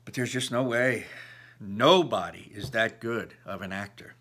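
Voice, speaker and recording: A man's voice: on the low side, measured pace, a bit bright, husky. A man voicing an upset, defensive teenage girl from a storybook, arguing her side with her parents. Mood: insistent.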